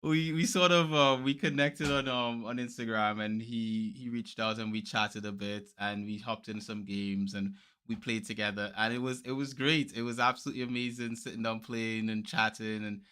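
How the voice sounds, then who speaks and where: A man from Trinidad and Tobago with a softened Trinidadian accent, co-hosting a podcast and telling easygoing stories in casual chat. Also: on the low side, fairly even intonation, normal speed, clean tone. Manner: easygoing storyteller, many restarts and fillers. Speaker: podcast co-host.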